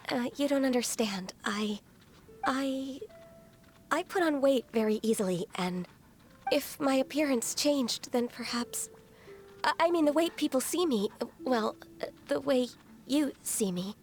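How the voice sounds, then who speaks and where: A woman voicing a gentle, shy, dutiful half-human young woman in a video game, speaking politely and formally with some hesitance. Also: sits high, animated, measured pace. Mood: flustered. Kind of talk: video game character dialogue.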